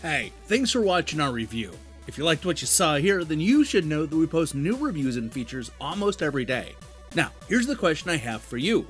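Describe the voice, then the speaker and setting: A man delivering a scripted video game review as the show's host, with emphatic, deliberate phrasing. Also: a little high, sing-song, a bit bright. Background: background music.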